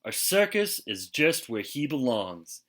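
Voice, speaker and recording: Stern, forceful storybook father, acted by a man. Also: medium pitch, sing-song, a little slow. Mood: angry bellowing. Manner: emphatic, scolding, bellowing. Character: stern, exasperated father scolding the family cat.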